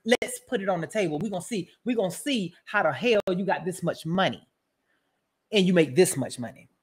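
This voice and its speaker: A woman's voice: lowish voice, expressive, a little fast, slightly rough. A woman giving casual, profane roast commentary as a show host, brash and mocking, rambling and repeating her phrases.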